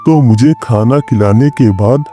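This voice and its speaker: Man playing a sly, mellow cartoon Brahmin priest. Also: medium pitch, unhurried, faint music behind, close to an everyday voice. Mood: mock tearful. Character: cunning Brahmin priest man, feigning humility and grief. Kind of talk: Hindi cartoon moral story character dialogue.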